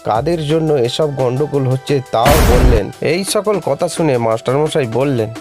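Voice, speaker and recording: A man tells a children's story. His scripted narration sits at a middle pitch, and loud music plays underneath throughout. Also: moderate pace. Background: loud background music.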